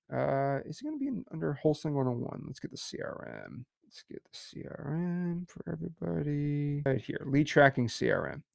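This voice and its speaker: A young man teaching a casual livestream course with energetic, repetitive pep talk. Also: medium pitch, vocal fry, dry recording, clean studio sound.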